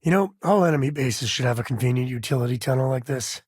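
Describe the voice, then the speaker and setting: An actor playing a wry, laid-back former ranger and space explorer in a video game, a husky man talking in a folksy, conversational way. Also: medium pitch, fast talker, a little mellow, no room sound, clean studio sound, close to an everyday voice. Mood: wry, casual. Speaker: video game companion character. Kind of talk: video game voice acting, casual dialogue.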